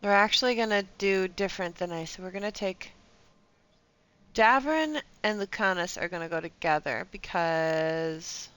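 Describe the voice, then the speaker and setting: A woman's voice: on the low side, normal speed, fairly bright tone, clear, resonant tone. A woman giving casual live gameplay commentary, thinking aloud in a chatty, playful way with bits of slang. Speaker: game streamer. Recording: faint noise and game ambience behind.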